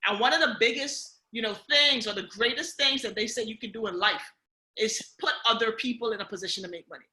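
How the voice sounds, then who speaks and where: A woman's voice: a little high, fairly quick, sharp tone, echoey room. Upbeat Bahamian woman coaching a team. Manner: upbeat, coaching, repeats key points, frequent "okay?" and "guys". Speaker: team leader running a training call. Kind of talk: motivational training call.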